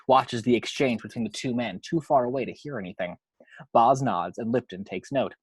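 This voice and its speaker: A man's voice: medium pitch, husky, no room sound, poor audio. A man reading action descriptions from a screenplay as scripted narration.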